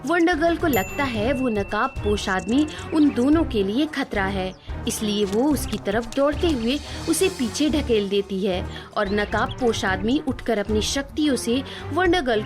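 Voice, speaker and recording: A woman narrating a scripted children's cartoon story. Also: on the high side.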